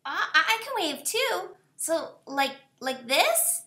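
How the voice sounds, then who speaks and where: A woman voicing an excitable, gushing little puppet girl with a squeaky voice in a children's puppet show. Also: takes it slowly, heavily acted. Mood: curious. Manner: gushing, eager. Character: excitable young purple puppet preschool girl. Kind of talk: children's puppet show over video call. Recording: echoey video call.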